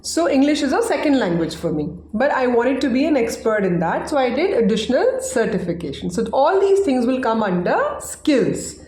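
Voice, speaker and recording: A chatty woman teacher whose first language is Hindi talks through a relaxed online lesson and keeps asking "right?". Her voice sits fairly high for a woman. The room around her is echoey. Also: normal speed, few pauses. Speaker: English teacher.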